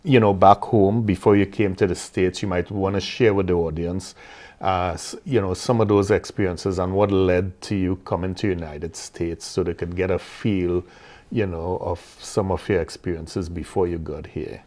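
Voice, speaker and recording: Deep-voiced Trinidadian man hosting an interview, rambling questions full of "you know". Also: fairly even intonation, normal speed, darkish tone. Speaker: interview host.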